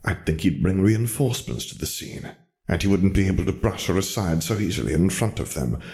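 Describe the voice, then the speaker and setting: A man voicing a bitter, arrogant dark wizard for an audiobook, soft yet commanding, ranting with scornful, precise words. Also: sits low, lively intonation, a little fast. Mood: brooding, calculating. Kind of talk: audiobook character voice.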